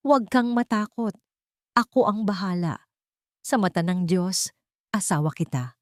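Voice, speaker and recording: A woman's voice: medium pitch, expressive, unhurried, darkish tone, no echo, studio quality, lightly acted. A woman voicing a devoted, reassuring young rich man in a romance story, earnest and vowing. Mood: ardent reassurance.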